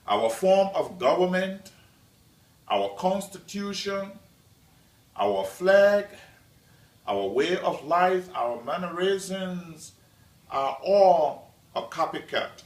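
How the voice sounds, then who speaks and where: A man from Liberia giving a prepared class speech as a student, in a slow, measured delivery with deliberate pauses. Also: sits high, animated. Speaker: student presenter. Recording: echoey room, mic at a distance.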